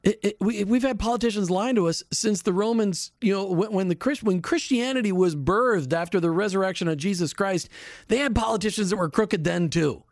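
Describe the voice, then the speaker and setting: A man's voice: high-pitched, fairly quick, no room sound. A male radio host in a studio, chatting with enthusiasm and stumbling over his starts. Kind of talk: casual radio talk.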